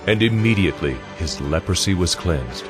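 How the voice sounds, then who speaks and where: A man's voice: low voice, animated, normal speed, music in the background. A man narrating scripture from a script in an audio drama.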